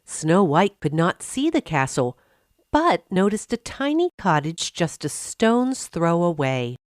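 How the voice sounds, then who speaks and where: A woman narrating a scripted fairy tale for children, expressive and carefully phrased. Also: fairly low pitch, slow, no echo, studio quality. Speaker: storyteller.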